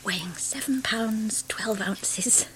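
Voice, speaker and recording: A soft-spoken new mother. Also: fairly high pitch, slow, crowd noise, poor audio. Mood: tired, whispered. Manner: soft, often whispered. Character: young woman, expecting then new mother. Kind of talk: radio drama acting.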